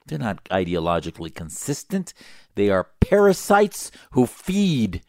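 A man with a low, gravelly voice delivers political talk-show commentary. He sounds emphatic and combative, with sarcastic jabs. The recording is dry and close. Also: wildly swooping pitch, unhurried, darkish tone, no echo.

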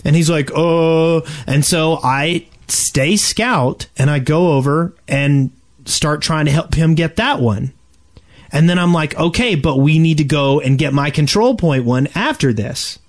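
A man hosting a casual gaming podcast, telling stories in an animated, conversational way and acting out exchanges. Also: on the high side, a little slow. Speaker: podcast host.

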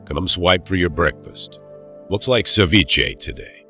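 An actor playing a cold, controlling doctor in an audio drama, giving orders in a stern, measured, quietly menacing way. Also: male voice, deep voice, soft background music. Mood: controlled.

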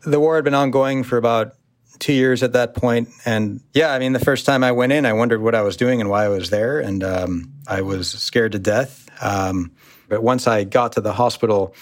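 An American man telling personal stories as an interview guest, in a reflective, halting way. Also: medium pitch, no room sound. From United States.

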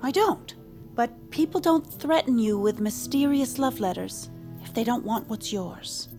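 An actress playing a faded rich divorcée in an audio drama, dramatic, chummy and confiding. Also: female voice, on the high side, animated, a little slow, dark tone, faint music behind. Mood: conspiratorial, wounded. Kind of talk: audio fiction drama acting.

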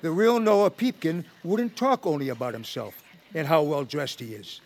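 A man's voice: fairly high pitch, normal speed, natural voice. An actor playing a plainspoken, fatherly older townsman in a family audio drama. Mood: gently scolding.

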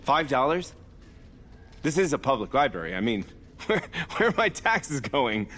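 A man's voice: mid-range voice, sing-song, unhurried, bright, forceful tone, heavily acted. A man playing a cocky, childish bounty hunter in an audio drama, flippant and chatty. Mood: casual.

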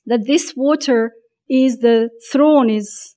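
A woman talking reflectively about faith in a conversation, pausing often and restarting her thoughts as she searches for words. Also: on the high side, mellow.

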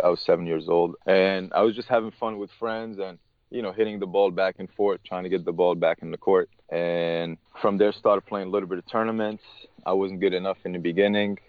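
A man, a tennis coach being interviewed, speaking haltingly and often restarting his words. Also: deep voice, dry recording, dull audio. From Morocco. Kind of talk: casual interview talk.

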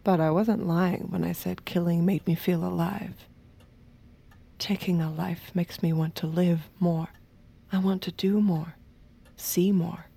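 An actress playing a seductive, submissive killer who confides in the listener in a hushed, intimate manner for an audio drama. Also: female voice, on the low side, steady tone, a little slow, gravelly, slightly rough, heavily acted. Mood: calm, confiding. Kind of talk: intimate first-person narration and acted dialogue in audio drama.

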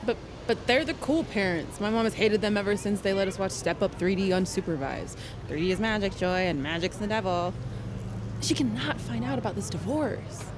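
An actress playing a sardonic, contrarian young woman with a deadpan, needling delivery in audio fiction. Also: mid-range voice, a little fast, background noise, close to an everyday voice. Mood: blunt. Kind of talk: naturalistic audio fiction acting.

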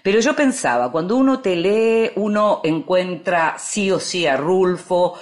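Woman interviewer w rioplatense accent, chatty but halting, feels her way through each question. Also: fairly low pitch, animated. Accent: Rioplatense Spanish. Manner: tentative, halting, thinking aloud. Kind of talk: conversational literary interview.

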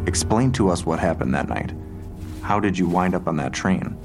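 An actor in an audio drama playing a steady police detective who questions in a measured, procedural way. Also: male voice, sits low. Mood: probing. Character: steady, probing male police detective interviewing a witness. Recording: music bed behind the voice.

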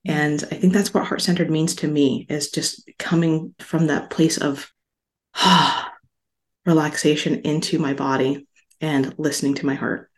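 A middle-aged woman from Hawaii, a podcast guest speaking in a calm, soft, unhurried way. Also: sits low. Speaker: podcast guest, stress relief author. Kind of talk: calm interview talk.

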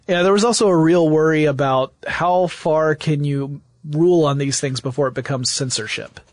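A man with a moderately high voice talks as a podcast co-host. He explains in a conversational way, thinking aloud as he goes. The sound is dry, with no room around it. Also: normal speed, no room sound.

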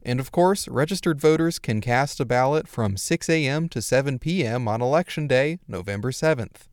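Male American news reporter, medium-pitched, scripted read in a dead-dry booth. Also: expressive, moderate pace, no room sound.

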